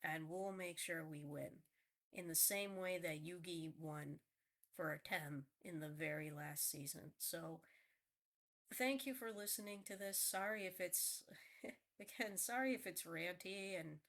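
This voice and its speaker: A woman's voice: on the low side, a little slow, a bit bright. A woman with a nasal voice just after a cold, rambling earnestly in a casual vlog monologue. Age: adult. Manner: rambling, earnest, restarts often. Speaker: vlogger. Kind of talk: casual heartfelt tribute monologue.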